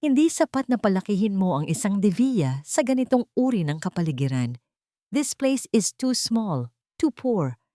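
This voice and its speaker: A woman's voice: mid-range voice, sing-song, moderate pace, dark tone, no room sound, close to an everyday voice. An arrogant estranged husband from an audio romance drama, acted out by a woman narrator with a Filipino accent. He speaks with contempt and authority, threatening as he switches languages. The sound is very dry. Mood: disdainful.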